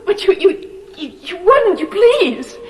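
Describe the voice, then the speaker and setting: An actress playing a terrified captive young wife in an old radio drama, tremulous and pleading. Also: female voice, extremely high pitch, very animated, measured pace, heavily acted. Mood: horrified pleading.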